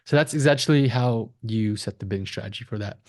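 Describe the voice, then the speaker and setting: A man's voice: lowish voice, fairly quick. Man walking through an online tutorial. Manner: conversational, frequent check-in tags like "right?" and "okay?". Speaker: online marketing instructor, agency founder. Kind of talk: screen-share tutorial, explaining step by step.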